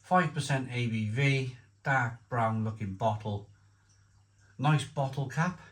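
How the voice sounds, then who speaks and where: Man, beer reviewer, casual talky delivery, ordinary middle pitch, rambles and self-corrects. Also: takes it slowly. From Wales. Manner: chatty, rambling with many restarts and asides.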